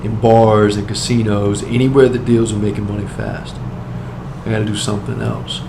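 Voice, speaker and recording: A man playing a desperate, streetwise drug dealer on the run, narrating his brooding thoughts in a deliberate, dramatic inner monologue. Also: lowish voice, little pitch movement, vocal fry, background noise. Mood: brooding, scheming.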